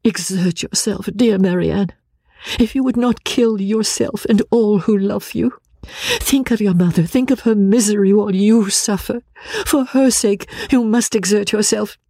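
A woman reading a classic novel aloud, playing a caring, sensible young woman who speaks in an earnest, measured, gently urging way. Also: mid-range voice, no room sound, pitched above the performer's own voice. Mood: urgent, pleading.

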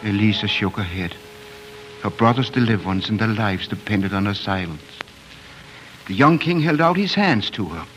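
A man narrating a fairy tale in measured, dramatic 1940s radio storytelling style. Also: fairly low pitch, expressive. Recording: dull 1940s radio, music behind.

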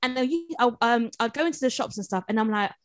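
A woman's voice: on the high side, fast talker. A young woman, co-hosting a casual podcast chat and telling stories with acted-out little reactions. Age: young adult. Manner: animated storytelling, acting out little reactions. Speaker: podcast co-host.

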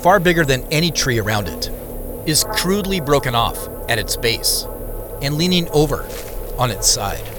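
A man acts an unsettled dreamer telling his story in the first person. His mid-pitched voice moves expressively over clearly audible music, in the style of an immersive audio drama. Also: big pitch swings, moderate pace, lightly acted. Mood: absorbed, uneasy. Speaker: narrator and lead character.

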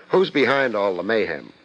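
An actor playing a laid-back, wry private detective in a dry 1950s radio style, on a noisy vintage recording. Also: male voice, on the low side, sing-song, slow, a bit bright, dull audio, heavily acted. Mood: wry, curious.

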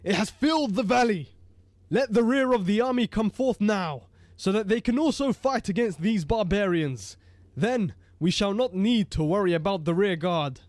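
A man's voice: high voice, animated, normal speed, darkish tone, dry recording. A man playing an earnest, loyal Egyptian army officer in a declamatory dramatic reading. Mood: urgent. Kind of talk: dramatic reading of an ancient text.

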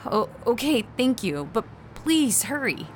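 A woman's voice: fairly high pitch, sing-song, a little slow. Scared regular woman in an audio drama, nervous n hesitant, bit of background noise. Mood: panicked. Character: anxious ordinary woman, a frightened witness narrating her ordeal. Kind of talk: first-person audio drama narration and dialogue.

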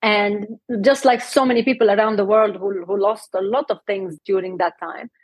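Lebanese-born woman, middle aged, kinda breathy, giggly interview guest, dry sound. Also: mid-range voice, fairly even intonation, moderate pace, no room sound. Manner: warm, laughs often. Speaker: guest, health coach. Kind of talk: casual interview talk.

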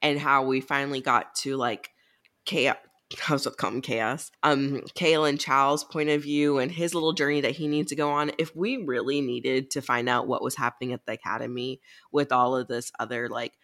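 A woman's voice: very deep voice, fairly even intonation, normal speed, fairly bright tone. Blunt, slangy woman podcaster. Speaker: co-host of a book recap podcast. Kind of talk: casual book chat.

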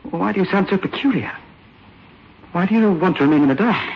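A distraught young man in love, with a fairly high, very animated voice. He is stubborn and disbelieving, tripping over words emotionally in old radio horror style. The recording is muffled. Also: fairly quick, gravelly, a little hiss or hum. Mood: insistent. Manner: stammering, emotional.

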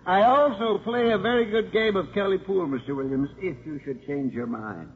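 An actor playing a mild, polite and quietly shrewd elderly priest in a 1950s radio drama. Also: male voice, high voice, normal speed, natural voice. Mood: sly, genial. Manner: polite, gentle, slyly understated. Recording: thin, phone-like 1950s radio recording, noise.

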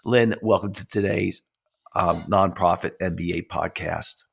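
A man hosting a podcast while he has a head cold, which leaves him nasal. He talks in a halting way, with many fillers and restarts. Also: sits low, fairly even intonation, vocal fry, slightly rough. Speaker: podcast host. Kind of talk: casual interview, reading sponsor spots.

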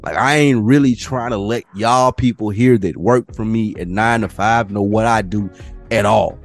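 A man with a fairly low voice talks casually as a podcast co-host. His speech is slangy and sweary, and he puts his opinions bluntly. A little music sits in the recording. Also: moderate pace. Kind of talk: casual conversational podcast talk.

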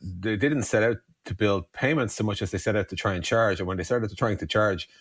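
A man's voice: fairly low pitch, fairly quick, fairly bright tone, husky, dry recording, clean studio sound. An Irish man telling startup stories casually as a co-founder in an interview, digressing and peppering his talk with "like" and "you know". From Ireland. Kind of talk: casual conversational storytelling.

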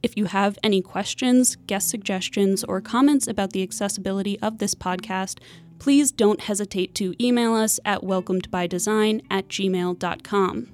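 A woman's voice: mid-range voice, steady tone, moderate pace, a little mellow. A young woman from the United States hosting and producing a university podcast, reading credits and chatting with a co-host. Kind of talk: casual chat and scripted outro.

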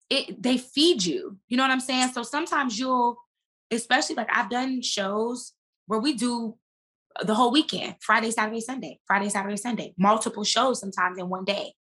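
Bright-voiced woman, emphatic podcast guest. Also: fairly high pitch. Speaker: guest, stage and screen actress. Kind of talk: casual podcast conversation.

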